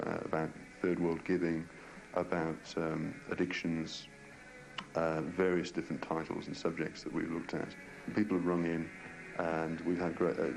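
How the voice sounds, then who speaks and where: A man, a pastor, answering in an interview in a halting, earnest way. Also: sits low, gravelly, darkish tone, a little hiss or hum, low-quality recording. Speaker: local pastor and radio station organiser.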